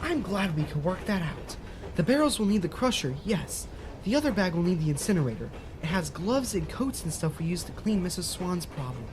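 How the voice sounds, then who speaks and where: A woman's voice: fairly low pitch, sing-song, a little fast, noisy background. A woman playing a cheerful, shady fixer who talks in a sing-song children's show manner in a parody audio drama. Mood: placating.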